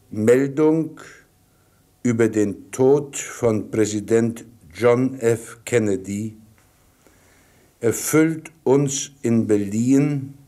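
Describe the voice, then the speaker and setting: Very slow, grave male speaker. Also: fairly low pitch, dark tone. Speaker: city mayor. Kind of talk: solemn radio address.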